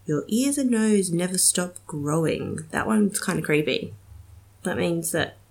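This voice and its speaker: A woman's voice: lowish voice, expressive, unhurried, a little mellow. A young woman hosting a casual solo podcast, chatty and giggly with many ums, sounding slightly nasal from a cold. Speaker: podcast host.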